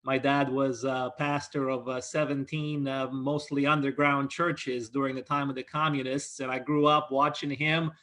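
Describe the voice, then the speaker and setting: Bright-voiced man from Romania, earnest. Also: medium pitch, flat delivery, moderate pace, few pauses. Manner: earnest, hesitant with many fillers. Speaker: guest lawyer. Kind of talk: interview answers.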